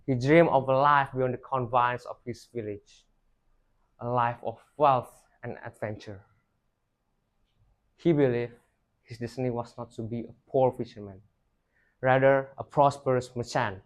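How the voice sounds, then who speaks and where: A young male storyteller with a medium-pitched voice. He delivers a folktale like a rehearsed performance, declaiming each phrase with emphasis. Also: steady tone, a little slow, long pauses. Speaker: student storyteller.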